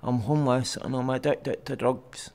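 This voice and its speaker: A young man with a Glaswegian Scottish accent, giving personal testimony for a documentary in a halting, plain way with pauses and fillers. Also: medium pitch, steady tone, a little mellow. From Scotland. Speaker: documentary interviewee. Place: outdoors on a city street at night.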